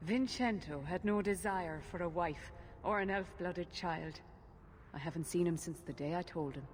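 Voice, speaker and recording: A worried elven mother, voiced by a woman speaks in a game cutscene, earnest and measured. Her voice sits a little low, with faint noise underneath. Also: close to an everyday voice. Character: anxious elven mother pleading for her son.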